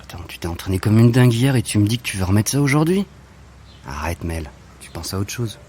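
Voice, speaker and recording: A man's voice: fairly low pitch, lively intonation, fast talker, a little mellow, slight background noise, close to an everyday voice. A man acting as a curious young friend in a fiction podcast, teasing with slangy casual banter.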